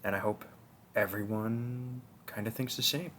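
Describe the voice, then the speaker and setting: A soft-spoken man giving a casual, earnest outro to his listeners as an audio creator, with a hesitant delivery. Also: fairly low pitch, steady tone, unhurried, a little hiss or hum.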